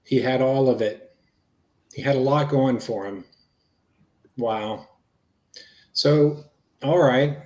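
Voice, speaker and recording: Roomy echo, man acting a patient investigator, mid-pitched, casual explaining, police interview reading. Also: slow pace, long pauses, darkish tone, lightly acted. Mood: casual, probing. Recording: roomy, echoey sound.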